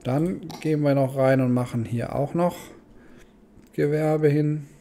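A man's voice: on the high side, steady tone, measured pace, warm tone. A man giving casual let's play commentary, thinking aloud and muttering questions to himself. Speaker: gaming host.

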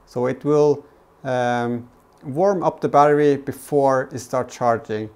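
A man's voice: mid-range voice, takes it slowly, a little mellow, clean tone. A man explains things casually in a vlog, hesitantly and often repeating words. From Norway. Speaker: vlogger, DIY car channel host. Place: home garage workshop, indoors.

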